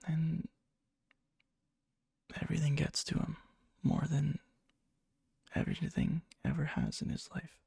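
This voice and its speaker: A man playing a needy yandere young man confessing love to a friend in a hushed, halting ASMR roleplay, often slipping into a whisper, breathy and gravelly. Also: a little high, wildly swooping pitch, no room sound, clean studio sound, full character voice. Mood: jealous, yearning. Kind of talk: ASMR boyfriend roleplay, soft intimate storytelling. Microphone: close mic, dry.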